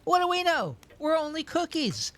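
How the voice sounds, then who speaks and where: A woman's voice: high-pitched, sing-song, moderate pace, fairly bright tone. A woman playing an anxiously obedient, prim angel cookie in a radio drama, speaking insistently and earnestly.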